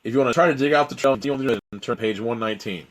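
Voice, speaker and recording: A man with a raspy, strained voice, hosting a live stream where he reads an adventure story aloud and chats with viewers. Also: mid-range voice, rapid-fire, poor audio. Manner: dramatic narration, then loose rambling chat. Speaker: host reading a choose-your-own-adventure book.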